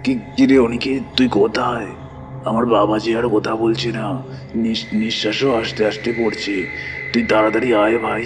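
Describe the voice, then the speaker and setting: Male actor as desperate pleading friend in a horror story: lowish, narrow pitch range, stammering, over loud music. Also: level tone, moderate pace, close to an everyday voice. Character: desperate childhood friend pleading to save his father. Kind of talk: audio horror story acting.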